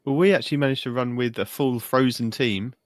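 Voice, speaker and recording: A man's voice: mid-range voice, a little fast, no echo. A man co-hosting a gaming podcast, bantering casually in a teasing way. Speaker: podcast co-host.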